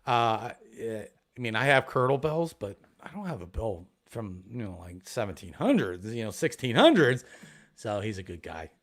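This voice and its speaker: A man from Ohio chatting as a guest on a casual live stream about metal detecting, excitable and full of restarts. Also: fairly low pitch, normal speed. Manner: excitable, rambling, many restarts.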